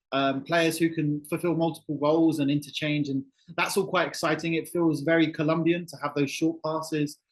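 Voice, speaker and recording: A middle-aged man from England, a football journalist, answering interview questions in a relaxed, thoughtful way and often restarting phrases. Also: on the high side, low-quality recording. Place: Colombia, remote call.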